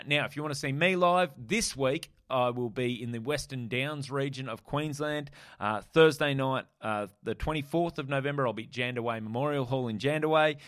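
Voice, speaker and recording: A male comedian hosts his podcast in an easygoing, rambling way, with plenty of ums and uhs. His voice is mid-pitched and recorded close and dry. He banters loosely with his guest. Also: a bit bright, smooth, no room sound. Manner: laid-back, filler-heavy banter. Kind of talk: casual podcast chat. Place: motel room.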